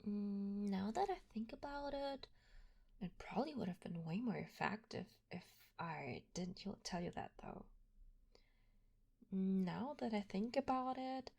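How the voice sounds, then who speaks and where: Yandere kidnapper played by a woman: breathy, creaky, coaxing and possessive, intimate ASMR roleplay delivery often sinking to a whisper. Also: fairly high pitch, heavily acted. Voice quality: breathy, frequently dropping into whispers. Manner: soft, coaxing, slow, with hesitant pauses. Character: obsessive, lovestruck yandere female kidnapper, doting and possessive. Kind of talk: ASMR roleplay, intimate one-sided address to the listener.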